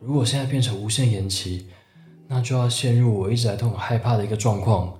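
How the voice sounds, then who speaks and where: A man's voice: medium pitch, fast, darkish tone, lightly acted. A young man acting in a radio drama as a hesitant, reserved man in his forties who is secretly in love. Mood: anxious, hesitant. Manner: hesitant, wary. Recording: roomy echo, guitar music behind.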